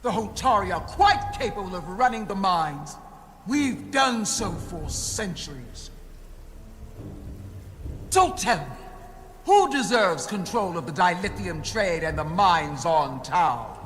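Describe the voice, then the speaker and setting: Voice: mid-range voice, big pitch swings, slow pace, fairly bright tone. An actor voicing a proud, stern alien monarch in a video game, formal and imperious, pressing with pointed questions. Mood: indignant, demanding. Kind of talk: dramatic video game acting. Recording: noisy game audio, long hall reverb.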